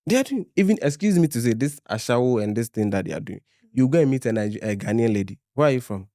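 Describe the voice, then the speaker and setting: A man arguing rapidly on a podcast clip, interrupting and pressing his point. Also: mid-range voice, lively intonation, mellow, dry recording, studio quality.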